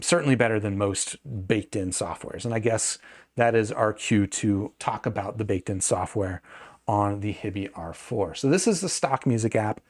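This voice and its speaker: A man talks through a product review in an average-pitched voice. His delivery is casual and unscripted, conversational and a little hedging, as if working out his thoughts while he speaks. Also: darkish tone. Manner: conversational, hedging, thinking aloud.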